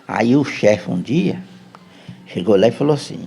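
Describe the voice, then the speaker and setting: A man's voice: medium pitch, expressive, unhurried, gravelly, dark tone, slightly rough, soft background music. A man with a Brazilian Portuguese accent, a podcast guest telling a long, rambling story and often checking in with his listener. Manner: chatty, keeps checking in with "né?".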